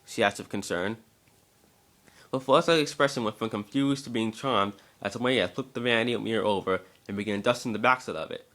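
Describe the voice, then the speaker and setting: A man's voice: fairly low pitch, a little fast, fairly bright tone. A man narrating a scripted story as an amateur dramatic reading, now and then stumbling over words.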